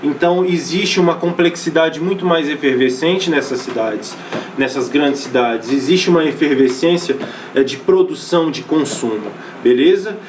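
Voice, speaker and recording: A man's voice: fairly high pitch, normal speed, distant mic, background noise. A Brazilian man teaching a sociology class in a loose, conversational way, often restarting phrases and checking in with his students. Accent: Brazilian Portuguese. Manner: casual, many fillers and restarts, checks in with students. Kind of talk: recorded online class lecture.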